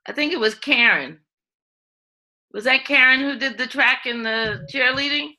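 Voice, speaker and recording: A woman's voice: high voice, moderate pace, pushed and bright. A woman teacher asking students friendly, curious questions on a video call.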